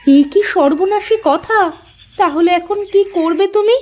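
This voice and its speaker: A woman voicing a supportive cartoon housewife who questions and gently scolds her husband. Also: very high-pitched, measured pace. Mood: chiding, concerned. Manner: questioning, lightly chiding. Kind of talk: acted cartoon dialogue.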